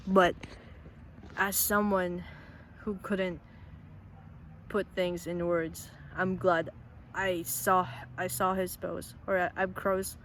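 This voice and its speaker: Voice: medium pitch, fairly bright tone. Earnest vlogger, faint traffic behind. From Philippines. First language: Tagalog. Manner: earnest, frequent restarts and repeated words. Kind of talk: casual heartfelt vlog talk.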